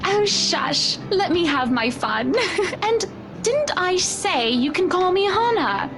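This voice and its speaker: A genteel, playful married lady in a visual novel game. Her voice is high and her phrasing is arch and formal. Music plays behind her. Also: sing-song, slow, full character voice. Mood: playful, teasing. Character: playful, teasing, genteel married woman named Hannah.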